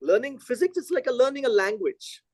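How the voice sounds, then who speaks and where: A middle-aged man from India, a Telugu native, giving a physics lecture in an animated, conversational way and thinking aloud. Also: extremely high pitch, fairly quick, no room sound, clean studio sound.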